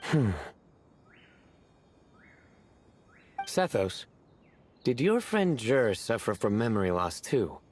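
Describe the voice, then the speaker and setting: A man voicing a cool-headed, reserved man in a video game, terse and deadpan. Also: mid-range voice, unhurried, slight background noise. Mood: cool, pensive. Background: background music.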